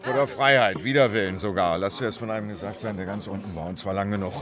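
A man's voice: lowish voice, quick pace, over music, natural voice. An actor playing a fervent squat-dweller in a radio drama, emphatic and rhetorical as he rouses his comrades. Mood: fervent, urging.

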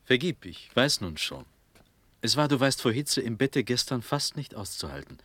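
Dreamy, breathy young prince. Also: male voice, mid-range voice, big pitch swings, fairly bright tone. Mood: dreamy, searching. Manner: fervent, halting, breathy declamation drifting into whispers. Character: dreamy, dazed young Prussian prince, rapturous and absent-minded. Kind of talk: classical German stage verse radio drama.

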